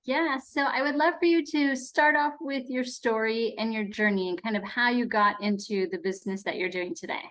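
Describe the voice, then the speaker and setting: A woman's voice: fairly high pitch, normal speed, few pauses, fairly bright tone. A woman hosting a podcast, upbeat and welcoming as she introduces her show and asks her guest questions. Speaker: podcast host.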